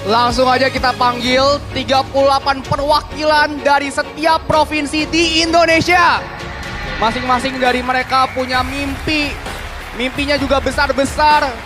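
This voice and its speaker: A man hosting a game show, energetic and slangy, calling out contestants loudly. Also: very high voice, normal speed. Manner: hyped, slangy, calls out names loudly. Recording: music under the voice. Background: music.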